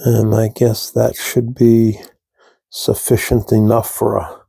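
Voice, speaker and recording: A man with a froggy, allergy-rough throat, slowly talking viewers through a model-building step in a casual, halting way. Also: on the low side, completely flat delivery, warm tone, no room sound. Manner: slow, halting, talks to viewers while working. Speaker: scale model hobbyist demonstrating. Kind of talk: casual hands-on tutorial.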